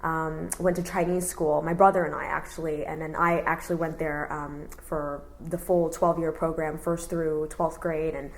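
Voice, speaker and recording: Lower-voiced woman in her thirties, rambling. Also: moderate pace, hardly any pauses, rough recording. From Houston, Texas. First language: Cantonese. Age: 30s. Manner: relaxed, rambling, many "you know" fillers. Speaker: interviewee. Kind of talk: oral history interview.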